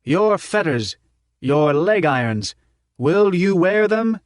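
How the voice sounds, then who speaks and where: A man voicing a fiery old professor giving a revolutionary speech in an audiobook, declaiming with deliberate pauses. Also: high-pitched, big pitch swings, no echo, studio quality, voice pushed up, exaggerated voice. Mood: defiant, rousing.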